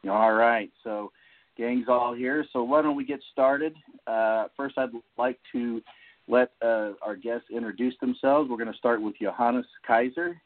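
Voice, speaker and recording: A man hosting a podcast with casual, somewhat halting introductions over an online voice call. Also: medium pitch. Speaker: podcast host. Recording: muffled, phone-like voice-call audio.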